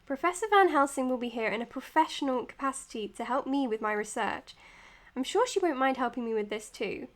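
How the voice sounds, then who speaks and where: A woman playing an earnest, dutiful psychiatrist in an audio drama, speaking in a measured, precise, professional way. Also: high voice, expressive. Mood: concerned. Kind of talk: audio drama acting as spoken case notes and dialogue.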